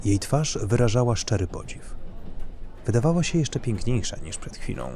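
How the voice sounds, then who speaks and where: A man's voice: mid-range voice, very animated, normal speed, warm tone, a little hiss or hum. A man reading scripted literary narration as the narrator of an audio drama.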